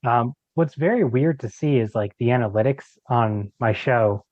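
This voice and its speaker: A man's voice: mid-range voice, moderate pace, dry recording. A man speaking as a podcast guest in a casual, informal chat, recorded with slightly lo-fi sound. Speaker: podcast guest, creator of an audio drama.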